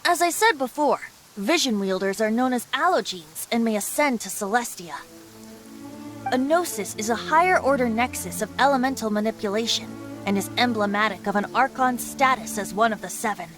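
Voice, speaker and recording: A voice actor playing a carefree young bard who is secretly a wind god, light, playful and lilting, over game music. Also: male voice, extremely high pitch, animated, normal speed. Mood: serious, musing. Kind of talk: video game voice acting. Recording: game cutscene with clear music.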